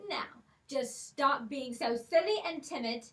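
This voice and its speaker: A woman's voice: high voice, a bit bright, distant mic. A woman voicing Molly, a brave, clever poor young girl with a plucky, cheeky way of talking in a children's puppet show. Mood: scolding.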